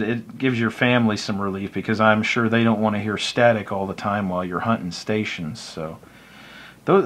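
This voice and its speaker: A man's voice: mid-range voice, a bit bright. An American man chatting casually and unscripted as he explains his hobby, in a rambling, plain-spoken and opinionated way. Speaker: shortwave radio hobbyist giving tips.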